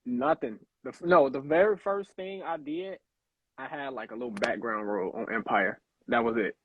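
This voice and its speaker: Laid-back young man from Chicago, gravelly. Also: medium pitch, normal speed, no echo. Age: young adult. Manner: laid-back, rambling with many fillers. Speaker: actor guest. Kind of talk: casual interview chat. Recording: tinny phone-like line.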